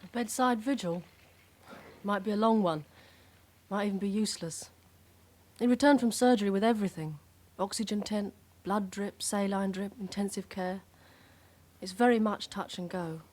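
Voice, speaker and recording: A woman's voice: medium pitch, moderate pace, darkish tone, natural voice. An actress playing a composed British policewoman in a radio drama, reporting matter-of-factly in clipped phrases. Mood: subdued, dutiful. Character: composed, dutiful policewoman reporting to her sergeant.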